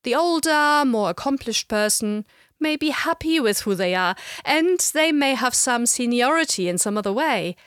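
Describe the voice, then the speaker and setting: A middle-aged woman with a slight German accent, giving a measured, explanatory lecture. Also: a little high, lively intonation, fairly bright tone, no echo, clean studio sound. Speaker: language educator. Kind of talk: scripted lecture narration.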